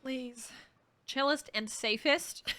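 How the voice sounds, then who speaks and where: A woman's voice: high-pitched, takes it slowly, pushed and bright. A young woman streamer giving casual, gushing and empathetic reactions to a game in informal speech. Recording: game music underneath.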